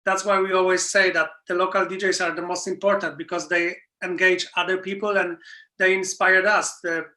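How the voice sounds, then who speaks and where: A man with a Polish accent, a DJ guest, talking in halting, searching English in a casual interview. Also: sits high, little pitch movement, sharp tone. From Poland.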